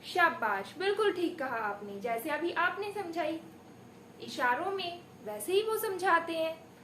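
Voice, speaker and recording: A woman teaching young children in an expressive storytelling style, with theatrical emphasis and rhetorical questions. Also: extremely high pitch, measured pace. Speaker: primary school teacher giving a demo lesson. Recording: echoey room with background noise.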